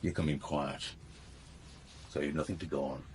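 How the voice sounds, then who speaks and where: An actor playing a big, tough, suspicious garage boss in a radio crime drama, blunt and belligerent. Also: male voice, very deep voice, fairly even intonation, moderate pace, background noise. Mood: belligerent, scornful.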